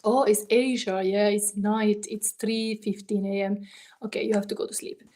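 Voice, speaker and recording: A woman from Finland with a Finnish accent, casually reading tarot on a live stream and chatting to viewers in halting, non-native English as she thinks aloud. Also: medium pitch, flat delivery, mellow. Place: warm indoor room. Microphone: phone.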